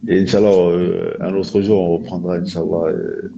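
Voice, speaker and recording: A man's voice: sits low, flat delivery, normal speed, gravelly, warm tone, lo-fi sound. A man hosting a live discussion in casual, informal talk, often repeating himself. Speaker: live stream host. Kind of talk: casual chat.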